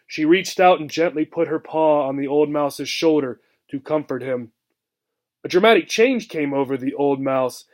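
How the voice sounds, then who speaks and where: A man reading a children's book aloud with expressive narration. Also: a little high, normal speed.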